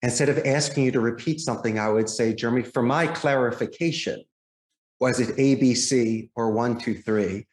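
A man's voice: medium pitch, moderate pace, darkish tone. A man giving polished, articulate explanations as a guest in an interview. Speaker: podcast guest, call center owner and trainer. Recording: roomy, echoing sound.

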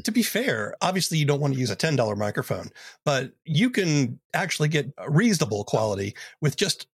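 A man's voice: on the high side, big pitch swings, fairly quick, slightly rough, dry recording, studio quality. A man hosting a podcast interview, putting in casual questions and comments.

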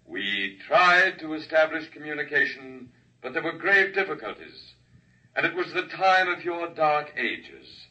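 Stately alien guy lecturing a crowd, bright tense voice, dull far-off 50s radio sound. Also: a little high, lively intonation, heavily acted. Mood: solemn. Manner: formal, measured oratory. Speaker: alien speaker addressing a crowd. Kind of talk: 1950s radio drama acting. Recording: muffled 1950s radio broadcast, distant mic.

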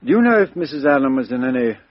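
An actor playing a methodical middle-aged police inspector, asking businesslike questions in a 1950s radio drama. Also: male voice, mid-range voice, sing-song, fast talker, dry recording, close to an everyday voice. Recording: dull 1950s radio recording.

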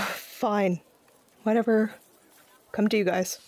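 A woman's voice: medium pitch, steady tone, slow, a little mellow, no room sound, soft background music. Woman acting a guarded, stubborn young sniper, close-sounding. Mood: irritated. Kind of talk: audio drama narration and dialogue.

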